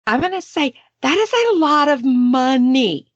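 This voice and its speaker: A woman's voice: high-pitched, lively intonation, unhurried, dry recording, highs cut off. A woman in her late forties from Texas with a Texan accent, hosting a podcast in a chatty, playful way. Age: 40s. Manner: chatty, playful, self-deprecating. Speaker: podcast host. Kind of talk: casual podcast chat.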